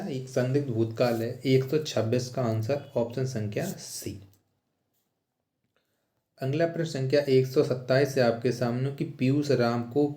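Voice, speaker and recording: A man's voice: mid-range voice, warm tone. A man teaching an exam-prep lesson, posing questions and repeating each answer for emphasis. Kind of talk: instructional question-and-answer walkthrough. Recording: roomy, echoing sound.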